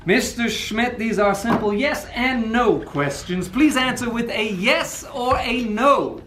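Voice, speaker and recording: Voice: mid-range voice, lively intonation, slow pace, roomy sound, background noise. A performer playing a smug, persistent lawyer who questions the witness in a theatrical, pedantic way. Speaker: defense lawyer Mister Grayson. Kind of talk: amateur radio play reading.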